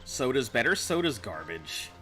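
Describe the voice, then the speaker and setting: Man, baritone, game streamer, casual rambling chat, bright tone, faint music behind. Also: big pitch swings, normal speed. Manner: rambling, loose, chuckling at chat.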